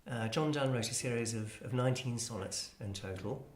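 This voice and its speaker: A man presenting an explanatory talk on classical music in a measured, hesitant, scholarly manner. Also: mid-range voice, slight background noise. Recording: roomy, echoing space.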